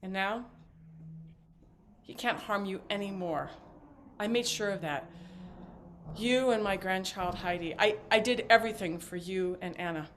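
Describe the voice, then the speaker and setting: A woman acting a talkative, evasive and devoted mother in a stage play, with a halting, theatrical delivery. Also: mid-range voice, slight background noise, close to an everyday voice. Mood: earnest, devoted. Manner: halting, rambling, theatrical emphasis. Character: talkative, evasive mother and grandmother, fiercely devoted, just paroled.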